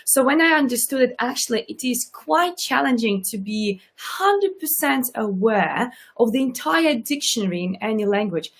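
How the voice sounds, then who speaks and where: A woman's voice: on the high side, lively intonation, moderate pace, hardly any pauses. A woman from Russia with a subtle Russian accent, hosting an interview show as a coach, speaking earnestly and repeating points for emphasis. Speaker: talk show host and coach. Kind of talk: casual interview chat.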